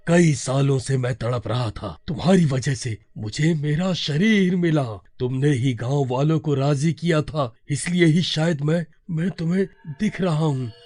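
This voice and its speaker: A man playing the pleading, distressed ghost of a murdered father in an animated story, dramatic and halting. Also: fairly high pitch, no room sound, faint music behind. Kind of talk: Hindi animated story acting.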